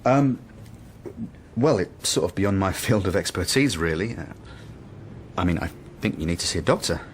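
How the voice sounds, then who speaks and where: Low-voiced, hesitant butcher. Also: male voice, lively intonation, normal speed, slight background noise. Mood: awkward, concerned. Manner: hesitant, down-to-earth. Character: hesitant, plain-spoken male local butcher, kindly confidant. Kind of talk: naturalistic radio drama acting.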